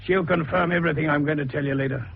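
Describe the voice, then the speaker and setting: An actor playing an older, eccentric male doctor sleuth in a radio detective drama, with a gravelly voice and an avuncular, theatrical way of explaining. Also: a little high, steady tone, quick pace. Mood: grave, assured. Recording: dull, muffled radio recording.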